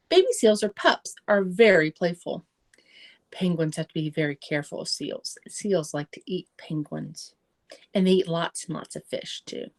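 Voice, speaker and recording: A middle-aged woman reading a children's nonfiction book aloud, carefully, with simple explanatory asides for young listeners. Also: fairly high pitch. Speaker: children's read-aloud presenter.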